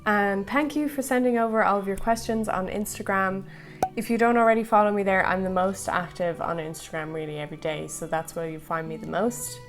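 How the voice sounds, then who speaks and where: A woman's voice: mid-range voice, fairly even intonation, brisk, music in the background. A young woman from Ireland with a slightly American-tinged accent, chatting casually and rambling through viewer questions. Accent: slightly American-tinged Irish. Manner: rambling, light laughs, filler-heavy. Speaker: plant vlogger. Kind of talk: chatty Q&A while repotting.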